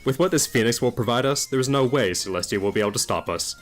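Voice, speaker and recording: A man's voice: medium pitch, quick pace, lightly acted. A man voicing a ruthless, scheming animal rebel in a cartoon parody, blunt and conspiratorial, with music behind him. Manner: blunt, conspiratorial, foul-mouthed.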